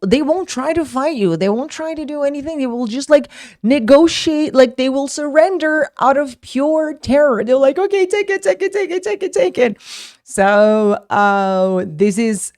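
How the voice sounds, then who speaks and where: A woman's voice: high-pitched, animated, a little slow, darkish tone. A young woman whose first language is Portuguese, teaching English in a casual online lesson, talking in a chatty way with many fillers and restarts.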